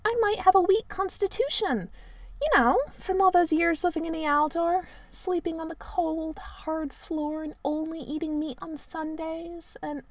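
A woman's voice: extremely high pitch, steady tone, unhurried, no echo, voice pushed up. A woman voicing a melodramatic, self-pitying young male servant, whining mournfully. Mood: protesting. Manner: mournful, overly dramatic whining.

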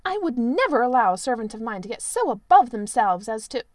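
A woman's voice: fairly high pitch, big pitch swings, brisk, a little mellow, no room sound, studio quality. A woman doing a haughty sniffing knight. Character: haughty disapproving male knight.

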